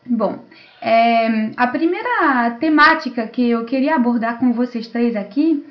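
A woman with a fairly high voice moderates a panel in a semi-formal register. Her delivery is halting, with small self-corrections. The sound is slightly dull and lo-fi, as on an online call. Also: expressive. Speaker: host and moderator. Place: remote video call.

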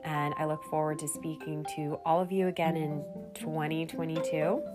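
A woman's voice: low voice, flat delivery, normal speed, over loud music. A woman hosting a podcast, congested from a cold, talking earnestly and directly to her listeners in a personal monologue. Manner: earnest, speaking straight to listeners. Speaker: podcast host.

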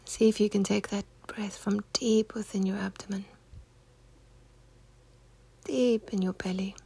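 A woman guides a meditation in a hushed, husky voice with a noticeable creak. She speaks gently and without hurry, soothing as she instructs. The sound is close and dry, with no room around it. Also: mid-range voice, steady tone, unhurried, dry recording. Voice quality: soft, breathy, husky, near-whispered.

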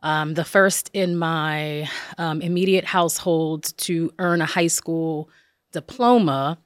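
Middle-aged New woman, lowish voice, dry close sound; upbeat, chatty guest. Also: slow, smooth, dry recording. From northern New Jersey. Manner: warm, enthusiastic, lots of ums. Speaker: university director, guest. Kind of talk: personal storytelling in conversation.

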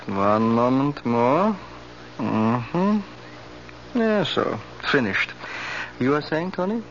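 An actor playing a genial, precise eye doctor in a radio drama, with formal, kindly, old-world phrasing. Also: male voice, mid-range voice, expressive, drawn-out words. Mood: genial, measured. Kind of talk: 1970s radio drama acting. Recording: dull, hissy 1970s radio recording.

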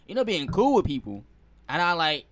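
A man's voice: fairly high pitch, very animated, moderate pace, a bit bright. An American man hosting a podcast in a casual, slangy, chatty way. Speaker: podcast host. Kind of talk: casual chat.

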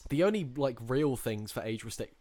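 A man's voice: mid-range voice, expressive, normal speed, darkish tone, no echo. A young man chatting casually as a podcast co-host, explaining things in a rambling way full of fillers. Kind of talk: casual chat.

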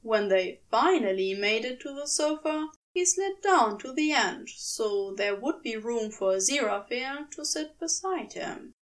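High-voiced, expressive woman narrating a story. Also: measured pace, hardly any pauses, far from the mic. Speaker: podfic narrator.

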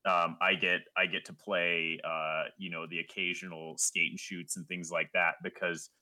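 Bright-voiced man in casual chat. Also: deep voice, normal speed. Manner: animated, stumbling restarts. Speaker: guest goalie.

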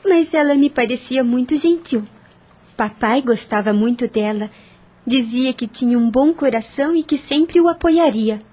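An actress playing a brave, gentle young woman in a radio drama, earnest. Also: high-pitched, moderate pace. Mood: earnest, reassuring.

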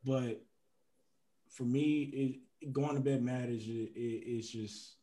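A man's voice: medium pitch, fairly even intonation, slow pace, dark tone. A young man co-hosting a podcast, talking casually and laughing often, with hesitant restarts when he turns reflective. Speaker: podcast co-host. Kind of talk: casual conversational debate.

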